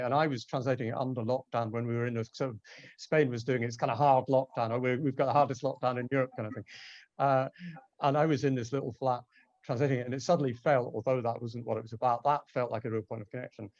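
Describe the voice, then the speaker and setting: A man talks very quickly and conversationally, with wry self-deprecation. His medium-pitched voice comes through dry and slightly muffled, like an online call. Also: steady tone, a little mellow, no echo. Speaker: translator, panel guest. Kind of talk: conversational anecdote telling.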